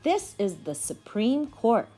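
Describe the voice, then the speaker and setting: A patient storybook aunt, voiced by a woman reader explains things to her young nieces. She speaks slowly and clearly stresses key words with a lifting pitch, in a slightly husky voice. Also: very animated, mellow, faint music behind, full character voice. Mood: patient, explaining. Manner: explanatory, measured.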